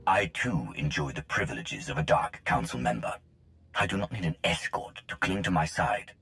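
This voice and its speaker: An actor playing an imperious dark lord in a video game, curt, haughty and menacing. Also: male voice, rumbling low, animated, moderate pace, vocal fry, exaggerated voice, poor audio. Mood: haughty, threatening. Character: imperious, threatening dark lord of a galactic empire.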